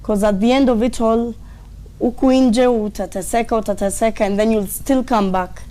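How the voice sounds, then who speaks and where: Earnest woman with a mellow voice. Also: a little high, steady tone, moderate pace. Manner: earnest, heartfelt. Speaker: guest. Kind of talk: casual interview.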